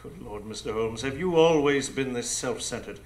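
An actor playing a grandiose, mocking pirate captain and criminal mastermind in a live radio drama, with theatrical, pompous delivery. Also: male voice, mid-range voice, lively intonation, normal speed, natural voice. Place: improv theatre stage before a live audience.

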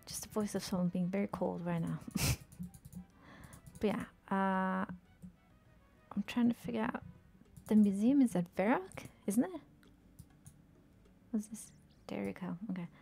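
Young woman streamer, low-key gameplay chatter; mellow, fry-edged, soothing, half-whispered asides. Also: measured pace, pauses often, soft background music. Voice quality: soothing soft voice. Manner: murmuring to herself, often dropping to a whisper. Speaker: game streamer. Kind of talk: casual gameplay commentary.

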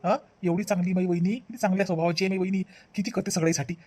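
A woman's voice: lowish voice, steady tone, racing, dark tone, breathy edge. A woman voicing a hot-tempered, accusing husband in a family comedy cartoon, repetitive and melodramatic, with a Vidarbha Marathi accent. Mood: angry, accusing. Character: hot-tempered husband devoted to his sister-in-law. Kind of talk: animated family comedy acting.

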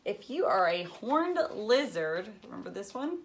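A woman in her thirties reading a children's book aloud like a teacher, with expressive asides and questions to young listeners. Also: medium pitch, a little slow. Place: indoors, living room at home.

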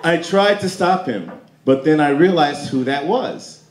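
Animated American stand-up comic, brash man. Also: a little high, measured pace. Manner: brash, animated, swearing, talking back to hecklers. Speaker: stand-up comedian at a roast. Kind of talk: stand-up roast, profane crowd banter. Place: club stage before a live audience. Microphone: handheld stage mic through PA, filmed from the crowd. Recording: roomy club PA with audience noise.

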